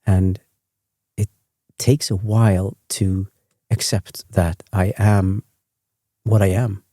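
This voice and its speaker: A man from Sweden who speaks English with a Swedish accent as a second language, hosting a sleep podcast in a rambling, self-correcting, gently chatty way. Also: low voice, little pitch movement, slow pace, dry recording, studio quality. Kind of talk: meandering bedtime talk.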